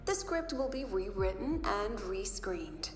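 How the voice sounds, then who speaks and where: An aloof female director in a game, passing judgment like a theatre announcer. She speaks slowly and imperiously, with swooping pitch, in a long cavernous reverb. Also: big pitch swings. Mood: cold, declamatory. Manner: measured, imperious. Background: music.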